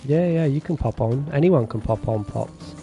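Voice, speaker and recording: A man's voice: mid-range voice, moderate pace, soft background music. A man streaming a farming game, chatting casually with his viewers and reading their messages aloud. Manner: relaxed, chatty, reads chat aloud. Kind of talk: casual chat with viewers while gaming.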